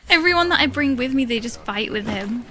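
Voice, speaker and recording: A woman with a high, somewhat raised voice chats shyly and hesitantly as she streams a game. She trails off, restarts and lets out small laughs. Steady background noise and mouse clicks sit under her desk-mic sound. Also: moderate pace. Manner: shy, hesitant, rambling with fillers and little laughs. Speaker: live streamer. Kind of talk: casual chat while playing a game.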